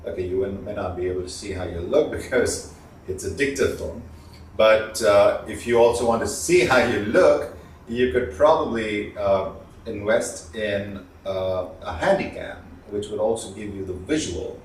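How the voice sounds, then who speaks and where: A man giving an instructional talk as a communication trainer, explaining with emphatic stressed words and pauses. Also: lowish voice. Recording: echoey room, distant mic, faint noise.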